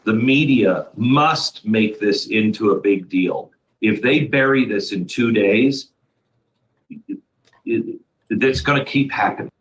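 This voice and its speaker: A man's voice: lowish voice, slow pace, rough recording. Earnest, urgent man on a talk show. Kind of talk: political talk show commentary.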